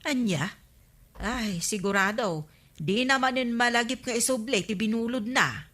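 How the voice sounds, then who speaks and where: A woman's voice: on the high side, a little slow, fairly bright tone. A woman playing a disapproving, gossipy villager with an Ilocano accent in a radio comedy drama, explaining things emphatically.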